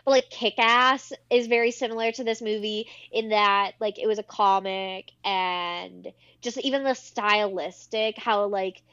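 A woman's voice: medium pitch, steady tone, unhurried, few pauses, a bit bright, lo-fi sound. A young woman co-hosting a podcast in a laid-back, jokey way with lots of filler words. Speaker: podcast co-host. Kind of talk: casual chat.

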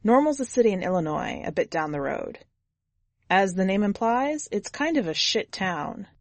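A woman's voice: mid-range voice, sing-song, moderate pace, dry recording. A woman narrating in the first person as a jaded, blunt twenty-year-old drifter, wry and foul-mouthed, in an audiobook story.

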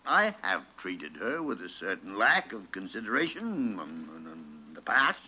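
A male actor playing a crusty, soft-hearted old senior doctor in a gruff, sputtering 1950s radio drama manner. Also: sits low, huge pitch swings, unhurried, heavily acted. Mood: contrite, kindly. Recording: dull lo-fi vintage radio broadcast.

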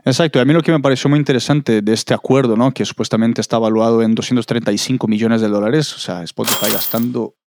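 A man's voice: medium pitch, steady tone, quick pace, few pauses, noisy background. A man giving conversational, explanatory commentary as a podcast co-host.

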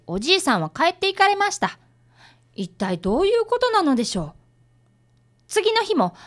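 An earnest schoolboy apprentice detective in a radio drama. The voice is high and swings animatedly. He narrates and speaks with courteous, careful formality. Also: fairly bright tone. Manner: polite, formal narration and dialogue. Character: earnest, polite young boy apprentice detective.

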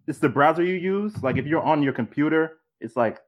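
A man co-hosting a podcast on a live video call, easygoing and helpful, answering with quick yeahs. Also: a little high, expressive. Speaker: podcast co-host. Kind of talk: casual live-stream chat.

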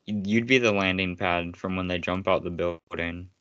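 Deep-voiced man teasing in a dry room. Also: steady tone, moderate pace, fairly bright tone, no room sound, lo-fi sound. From United States. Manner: rambling, teasing, laughs often. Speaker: podcast co-host. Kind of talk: casual chat.

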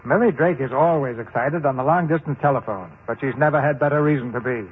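A man with an American accent giving formal, measured scripted narration as host of a 1940s radio theater. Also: medium pitch. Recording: muffled, noisy old radio recording.

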